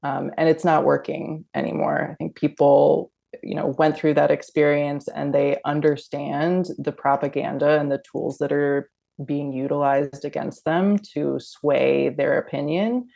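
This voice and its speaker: Woman guest activist, gravelly voice, pretty flat delivery, lots of ums. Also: deep voice, unhurried. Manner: thoughtful, frequent "um" and "you know". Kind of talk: casual interview talk.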